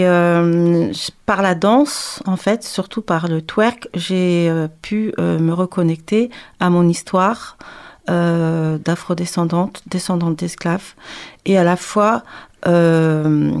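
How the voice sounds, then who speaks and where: A woman from France, a dance teacher, answering interview questions in a radio studio, hesitant and pausing often to search for words. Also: on the low side, creaky, darkish tone. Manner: hesitant, with frequent "euh" fillers and restarts.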